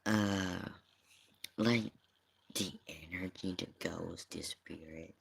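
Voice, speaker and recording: A streamer with a very husky, low voice gives casual reaction commentary. The delivery is slow and halting, with words drawn out between pauses. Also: fairly even intonation.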